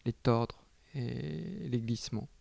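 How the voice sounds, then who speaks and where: Brief: hesitant artist guest, man, gravelly and dark-toned, close dry sound. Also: medium pitch, slow, no echo. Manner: hesitant, searching for words. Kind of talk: reflective interview answers.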